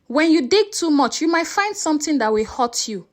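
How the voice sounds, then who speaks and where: A woman's voice: high voice, very animated, moderate pace. A woman storyteller playing a hard, intimidating uncle who speaks in a stern, accusing manner. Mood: accusing, threatening.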